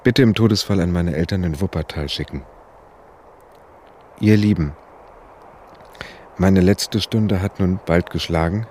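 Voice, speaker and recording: An actor playing a doomed young bank robber, formally reading out a bitter, self-righteous farewell in a radio drama. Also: male voice, low-pitched, measured pace, pauses often, darkish tone, a little hiss or hum.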